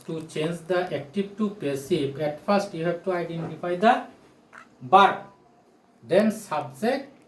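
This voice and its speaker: A male teacher in his forties gives a grammar lesson at a slow, emphatic pace. He speaks deliberately, as if to a class, repeating himself and posing questions. The sound is echoey, picked up by a camera in a small bare room. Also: sits high. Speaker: English teacher. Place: small indoor room beside a whiteboard. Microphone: phone or camera a short distance away.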